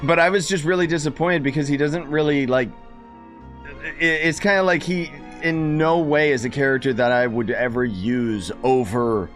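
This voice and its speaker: A man's voice: fairly high pitch, moderate pace, a bit bright. A man giving casual live-stream game commentary, blunt and griping as he chats to viewers. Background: video game music and dialogue.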